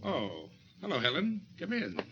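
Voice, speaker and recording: An actor with an American accent playing an evasive, casually reassuring advertising boss in a 1940s radio suspense drama. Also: male voice, fairly high pitch, huge pitch swings, unhurried, heavily acted. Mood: casual, surprised. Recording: dull, dry 1940s radio recording.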